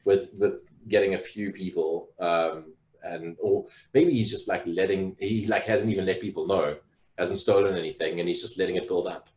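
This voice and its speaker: A man's voice: low voice, fairly even intonation, normal speed, darkish tone. A man co-hosting a casual live talk show, telling stories in a rambling way with many fillers. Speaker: podcast co-host. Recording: roomy echo.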